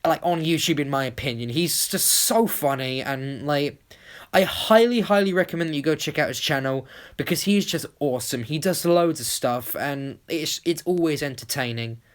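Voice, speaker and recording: Casual YouTuber, mid-pitched voice, enthusiastic and rambling, informal voice-over delivery. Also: moderate pace. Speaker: YouTube channel creator.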